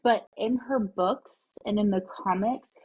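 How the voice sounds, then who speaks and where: A woman's voice: mid-range voice, unhurried, warm tone, slightly rough, low-quality recording. A woman guest on a casual interview, an enthusiastic fan who sometimes drops to a whisper. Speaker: podcast guest, mother.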